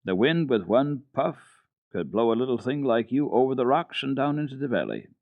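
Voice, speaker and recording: A man playing a wise, gruff old mountain grandfather who explains things in a measured, firm way, from an old radio recording. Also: medium pitch, very animated, no room sound, phone-quality sound, pitched above the performer's own voice. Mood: gentle explaining.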